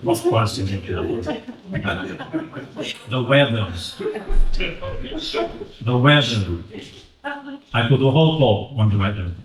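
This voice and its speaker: A man with a Portuguese accent giving a chatty, joking talk and quizzing the audience. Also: medium pitch. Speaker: invited speaker. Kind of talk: interactive public talk. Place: community event room. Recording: roomy echo, mic at a distance.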